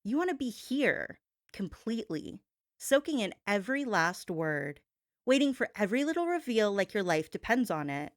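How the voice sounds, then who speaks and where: A woman's voice: medium pitch, very animated, dry recording, clean studio sound. A woman delivering a performed gossip-show monologue as a host, sassy and theatrical, leaning on words for dramatic emphasis. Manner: sassy, theatrical, drawn-out emphasis, addresses listener as babe.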